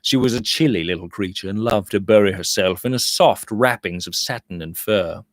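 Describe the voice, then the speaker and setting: A man's voice: lowish voice, moderate pace, no echo, clean studio sound. A man narrating a Victorian novel as an audiobook reader, in a theatrical storytelling manner.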